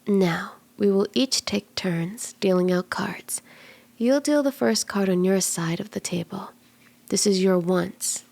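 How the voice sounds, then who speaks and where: Kitsune tarot reader acted by a woman: flirty, playful, soft and intimate ASMR delivery with noticeable vocal fry and some whispering. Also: medium pitch. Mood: soft, mysterious. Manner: teasing, reassuring, conversational. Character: flirty, playful female kitsune fortune teller.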